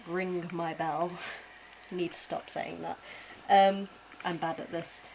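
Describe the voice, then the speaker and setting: A woman's voice: on the low side, flat delivery, takes it slowly, gravelly, a little hiss or hum, poor audio. A young British woman chatting casually while reacting to videos on a stream, laughing often and swearing offhand. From Britain.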